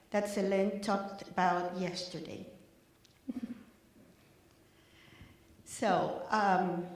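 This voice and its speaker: An older woman presents to a room, measured and deliberate, pausing to find her words. She talks slowly, and her voice rings out through a very echoey hall. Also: mid-range voice. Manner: careful, measured delivery with small restarts. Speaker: panel facilitator. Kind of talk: presenting to a live audience.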